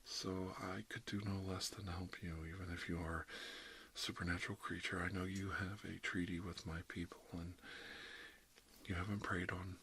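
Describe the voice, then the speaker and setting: Man playing a low, hushed, gentle dentist. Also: little pitch movement, moderate pace, a little hiss or hum. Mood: calm, kindly. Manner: hesitant, reassuring, murmuring step-by-step explanations. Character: gentle dentist treating a vampire patient. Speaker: ASMR roleplayer. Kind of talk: soft-spoken ASMR roleplay.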